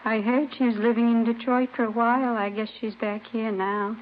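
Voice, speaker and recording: A woman's voice: a little high, flat delivery, moderate pace, natural voice. A woman playing a weary, resigned older American mother who runs a laundry, in a 1950s radio drama. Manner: plain, resigned. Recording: muffled, noisy old radio recording.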